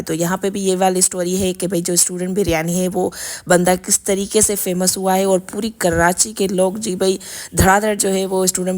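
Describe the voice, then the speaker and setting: A woman in her thirties from Pakistan with a hoarse, scratchy throat, narrating her vlog in a chatty way full of fillers. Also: lowish voice, a little mellow. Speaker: vlogger. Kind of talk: vlog voice-over.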